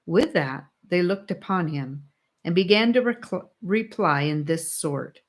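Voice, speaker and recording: Woman reading a classic audiobook, really unhurried, solemn, voice a bit low. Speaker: audiobook reader. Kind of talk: scripted narration of a classic religious allegory.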